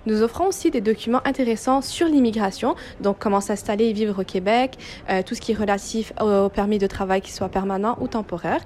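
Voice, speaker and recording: A woman's voice: medium pitch, a little fast, darkish tone, slight background noise. Young woman explaining in an interview. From Quebec, Canada. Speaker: youth organization representative being interviewed.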